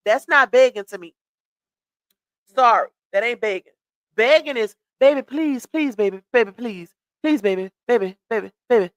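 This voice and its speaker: A woman's voice: high-pitched, pushed and bright, no room sound. Chatty woman hosting a gossip live stream. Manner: chatty, reads out comments and greets viewers. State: losing her voice.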